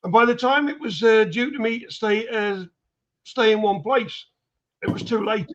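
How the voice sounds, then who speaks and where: Older man, dry and blunt, high-pitched voice, dull lo-fi line. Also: moderate pace, a bit bright. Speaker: panel guest. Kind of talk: casual panel chat.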